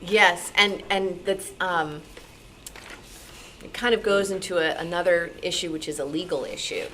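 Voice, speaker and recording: A woman with a fairly low voice talks informally as a radio programmer fielding questions. She is conversational and animated, often halting to think aloud, on a live meeting recording with faint background noise. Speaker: radio show programmer taking audience questions. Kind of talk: informal talk with audience questions. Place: club meeting room.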